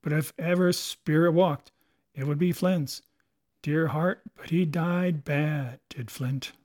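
A man voicing a bandaged, fearful male pirate sailor with hushed, superstitious sailor talk. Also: fairly high pitch, fairly even intonation, slow pace. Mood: uneasy.

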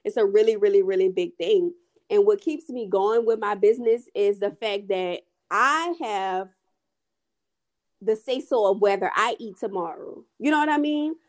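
A woman's voice: medium pitch, moderate pace, darkish tone. A woman business owner talking in a casual chat, earnest and emphatic, often asking if you know what she means.

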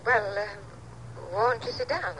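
A woman's voice: mid-range voice, slow, fairly bright tone. A woman playing a nervous widow trying to act natural, hesitant and stammering, in a 1950s radio drama. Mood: nervous, polite. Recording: noisy old radio, monitored through earphones.

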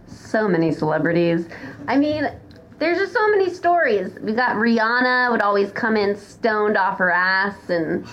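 A woman's voice: mid-range voice, lively intonation, normal speed, fairly bright tone. A woman podcast guest chatting casually in a blunt, sweary way. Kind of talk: casual chat. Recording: dull sound with faint noise.

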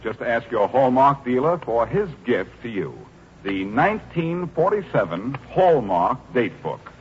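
A man announcing for a sponsor on 1940s radio, with a polished, courteous delivery. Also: mid-range voice. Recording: noisy, muffled 1940s radio recording.

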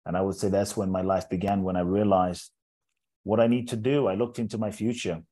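A man in his forties who grew up in England, a speaker telling his life story as an interview guest in an earnest, measured way, often repeating words as he builds a thought. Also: low-pitched, steady tone, mellow. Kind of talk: reflective personal storytelling in an interview.

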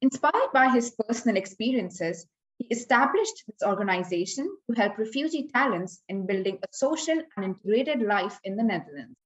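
A woman's voice: mid-range voice, lively intonation, moderate pace. A young woman from India, a university student co-hosting a podcast, reading a scripted introduction and asking the guest questions. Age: young adult. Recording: roomy echo.

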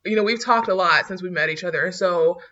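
A woman's voice: fairly low pitch, a little fast, a bit bright, highs cut off. A woman in her early twenties chatting casually as a podcast co-host, blunt and full of fillers and laughs. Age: 20s. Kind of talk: casual chat.

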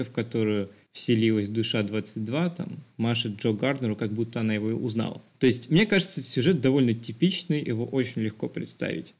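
A man with a medium-pitched voice speaks as a podcast co-host. He explains his views on film in an expressive, conversational way, setting up points with rhetorical questions.

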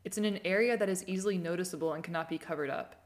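A woman's voice: on the low side, rapid-fire. A woman co-hosting an advice show, chatting casually and reading listener questions aloud.

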